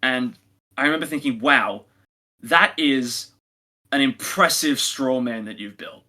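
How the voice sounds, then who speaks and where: Bright-voiced man in opinionated chat. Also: mid-range voice, unhurried. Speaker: podcast co-host reviewing audio dramas.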